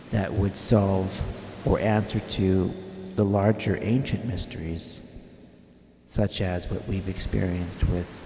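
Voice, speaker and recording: An actor playing an old sea captain narrating a mystical life story in a dreamy, portentous manner, in the style of old-time radio. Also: male voice, lowish voice, dark tone. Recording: hissy, echoey with long reverb.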